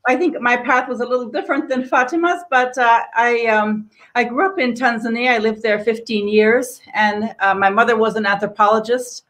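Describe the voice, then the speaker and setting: A woman's voice: a little high, steady tone, moderate pace, few pauses, fairly bright tone. A woman in her sixties, a guest scholar answering on a video call in a reflective, storytelling way from a home office. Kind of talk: interview answer.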